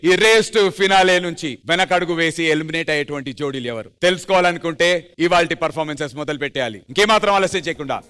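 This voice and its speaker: A man's voice: fairly high pitch, fast talker, sharp tone, clean tone. A man hosting a TV dance show, presenting energetically and emphatically, mixing in English phrases. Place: television studio stage.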